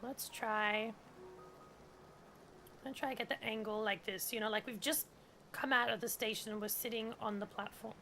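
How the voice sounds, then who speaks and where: German-native woman streamer, casual and rambling, reads prompts aloud, a bit high-pitched. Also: steady tone, normal speed, pauses often, sharp tone, a little hiss or hum. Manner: chatty, heavy use of "like", reads on-screen tutorial text aloud. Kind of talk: casual gameplay stream commentary.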